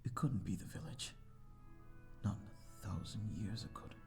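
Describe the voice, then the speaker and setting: A man's voice: fairly low pitch, normal speed, creaky, faint music behind. A man playing a lonely, refined vampire lord with formal, wistful speech in an ASMR audio drama. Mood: composed, pensive. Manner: formal, wistful, archaic wording.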